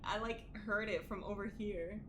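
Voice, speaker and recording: A woman's voice: medium pitch, moderate pace, background noise. A woman game streamer reading a horror game aloud and reacting expressively. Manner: expressive, exclaiming, swears when startled.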